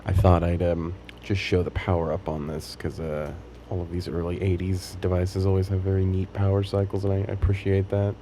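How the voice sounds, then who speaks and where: A man with a very low voice talks casually through a hands-on demonstration. His explanations come out tentative and unscripted, halting as he works them out. There is clear noise throughout the recording. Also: level tone, dark tone. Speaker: hobbyist demonstrating a tape machine repair.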